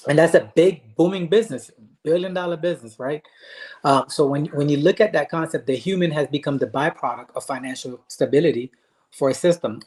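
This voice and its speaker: Man, from Haiti, guest life coach, earnest, faith-driven, frequent pauses, mid pitch. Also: a little mellow. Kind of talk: interview answers, earnest storytelling.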